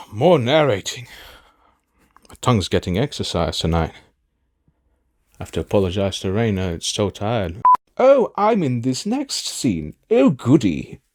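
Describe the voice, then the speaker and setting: A man's voice: mid-range voice, very animated, unhurried, long pauses, darkish tone. A man playing a sassy, self-absorbed fantasy villain in an audio drama, with a theatrical, drawling and melodramatic delivery. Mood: smug, playful. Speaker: fan audio drama voice actor and narrator. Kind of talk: theatrical in-character outtakes.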